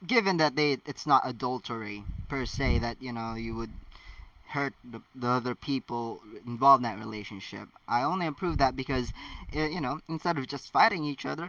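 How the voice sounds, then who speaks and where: A man reading stories aloud casually, with chatty, halting asides of his own. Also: medium pitch, sharp tone, recorded from a distance, dull audio. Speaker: amateur fan fiction narrator. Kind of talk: casual read-aloud with rambling personal commentary.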